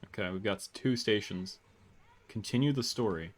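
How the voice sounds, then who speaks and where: Low-voiced man, casual gaming commentary. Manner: low-key, halting over unfamiliar words. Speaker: gaming video host. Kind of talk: casual game commentary, reading on-screen text aloud.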